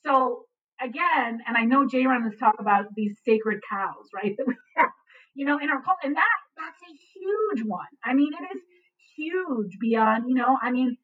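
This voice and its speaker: A woman's voice: high-pitched, expressive, a little slow, recorded from a distance. Earnest woman on a tinny line. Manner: earnest, frequent "you know" and "right". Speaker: adoptee writer and panelist. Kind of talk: reflective panel conversation.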